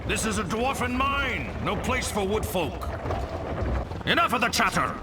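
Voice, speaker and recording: Blunt, high-pitched belligerent dwarf miner. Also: male voice, very animated, measured pace. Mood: gruff. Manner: blunt, combative. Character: gruff, suspicious, belligerent dwarf miner warrior. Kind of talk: fantasy game battle acting. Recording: echoey, distant, loud music behind.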